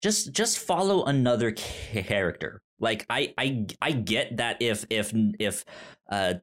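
A man co-hosting a podcast in a casual discussion, talking haltingly and often repeating and stumbling over his words. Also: mid-range voice, lively intonation, fairly bright tone. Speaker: podcast co-host. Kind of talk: casual book discussion chat.

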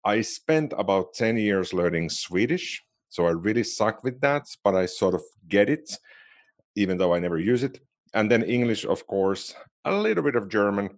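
A man's voice: on the low side, lively intonation, normal speed. A man with a Finnish accent co-hosting a podcast, chatting casually and a little self-deprecatingly. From Finland. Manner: chatty, self-deprecating, restarts phrases often. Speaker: podcast co-host. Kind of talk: casual chat.

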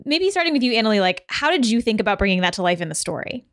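A woman's voice: a little high, big pitch swings, rapid-fire, fairly bright tone, no echo, clean studio sound. A woman hosting a podcast interview in a conversational style.

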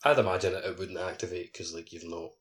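A man with a low-pitched voice chats as a podcast co-host about video games. He rambles in loose, casual sentences, padding them heavily with "like". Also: flat delivery, quick pace, a crowd in the background. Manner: rambling, heavy use of "like". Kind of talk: casual gaming podcast chat.

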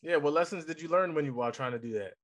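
A man's voice: fairly high pitch, racing, a bit bright. A man hosting an interview podcast, asking friendly, upbeat questions. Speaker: podcast host.

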